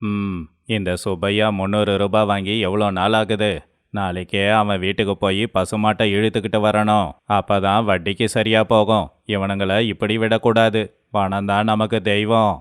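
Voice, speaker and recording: A greedy, cunning moneylender from a village moral tale , acted by a man. The voice is low and cleanly resonant. He talks down to people with a sneering, domineering manner. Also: flat delivery, moderate pace, clean tone, dry recording, clean studio sound, close to an everyday voice. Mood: scornful. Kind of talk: dramatized moral story voice acting.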